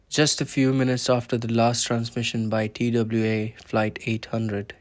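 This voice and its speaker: A man's voice: fairly low pitch, a little mellow. A man narrating a scripted documentary, explaining events step by step.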